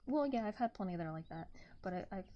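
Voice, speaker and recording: A soft-spoken woman hosting a casual ASMR art livestream, rambling gently to viewers into a close binaural microphone. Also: medium pitch, lively intonation, quick pace, highs cut off. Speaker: livestreaming artist and host. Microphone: close binaural ear microphone. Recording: binaural ASMR mic with background noise.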